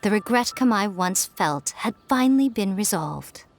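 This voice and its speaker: An actress playing a wise, composed, gently teasing ancient fox spirit lady in a video game, speaking in a measured, knowing way. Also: mid-range voice, expressive, creaky, soft background music. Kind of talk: scripted video game voice acting.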